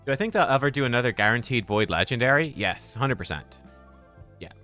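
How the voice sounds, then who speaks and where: A man chatting casually on a live game stream, relaxed and thinking out loud as he plays. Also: medium pitch, brisk, faint music behind.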